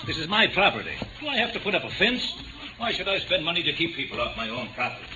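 Irritable landowner, slightly high, animated voice, muffled vintage radio drama. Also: male voice, quick pace, a crowd in the background. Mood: angry. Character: irritable, threatening neighbourhood property owner, an adult man. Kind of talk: old-time radio drama acting. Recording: muffled, noisy old radio recording.

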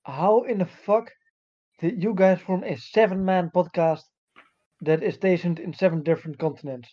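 A man's voice: high-pitched, moderate pace. A man hosting a casual podcast and asking questions, profane and sardonic, recorded with a dull, lo-fi sound. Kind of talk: casual banter.

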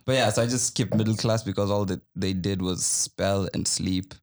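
Gravelly-voiced young Kenyan man, fairly low. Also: steady tone, normal speed, darkish tone. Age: young adult. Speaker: podcast guest, musician. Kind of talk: casual chat.

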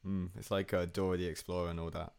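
A man's voice: low-pitched, little pitch movement, quick pace, creaky, a little mellow, smooth. A young man hosting a podcast, rambling and self-mocking, laughing often in casual chat. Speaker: podcast host.

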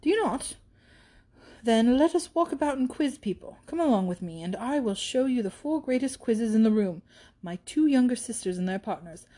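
A woman voicing a brash young gentleman in a period storybook, breezy, blunt and mocking with jaunty self-assurance. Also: fairly high pitch, expressive, normal speed.

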